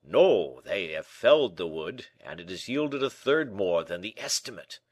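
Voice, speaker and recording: A man voicing a vain, rich, big nobleman in an audiobook, slow, emphatic and melancholy. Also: sits low, sing-song, breathy edge, exaggerated voice. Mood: gloomy. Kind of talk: audiobook character acting.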